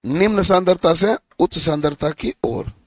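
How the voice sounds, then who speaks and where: A hoarse man with a fairly high voice teaches a lesson. He keeps asking the students questions and then answers them himself. The sound is dry and close, with no room echo. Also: moderate pace. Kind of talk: classroom lecture.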